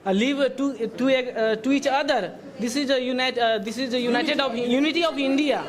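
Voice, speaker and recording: A man's voice: extremely high pitch, normal speed, a crowd in the background. A man in a classroom debate, speaking broken English and tagging his points with "okay?". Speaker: debate participant.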